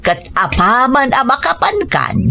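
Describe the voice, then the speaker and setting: A woman's voice: high voice, sing-song, unhurried, creaky, bright, forceful tone, soft background music, full character voice. A woman acting a persuasive, coaxing mother of a grown son in an Ilocano radio drama. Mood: reassuring.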